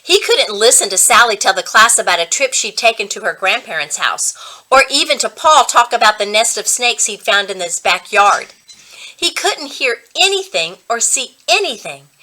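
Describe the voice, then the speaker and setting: A woman reading a children's book aloud as a reading coach, with expressive, dramatic storytelling. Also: a little high, moderate pace, poor audio.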